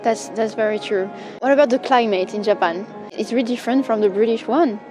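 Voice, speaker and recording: A young woman from France, a native French speaker, interviewing in an eager, encouraging way with quick reactions. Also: on the high side. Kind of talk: casual interview. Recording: music playing underneath.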